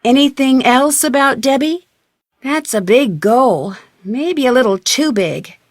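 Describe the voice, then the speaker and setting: High-voiced, inquisitive young female detective. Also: lively intonation, measured pace, no room sound, studio quality. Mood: curious, friendly. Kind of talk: video game dialogue acting.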